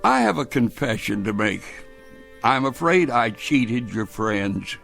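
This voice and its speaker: An old great-grandfather in a radio play, gentle but stubborn. His voice is gravelly and swings up and down as he talks in a slow, folksy, stammering way, with music underneath. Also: mid-range voice, big pitch swings, exaggerated voice. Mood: confiding. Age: older. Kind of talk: radio drama acting.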